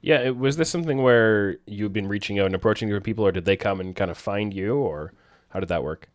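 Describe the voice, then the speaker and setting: A man with a fairly low voice hosts an interview, asking loose, friendly questions scattered with "you know." His sound is dry and close. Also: quick pace, dry recording. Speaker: interviewer, host. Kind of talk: casual interview hosting.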